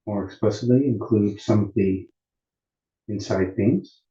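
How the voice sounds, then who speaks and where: Slow, dark-voiced man guiding meditation. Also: on the low side. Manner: calm, unhurried, deliberate with long pauses. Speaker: meditation teacher. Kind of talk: guided meditation instruction at a retreat. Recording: muffled, roomy echoing sound.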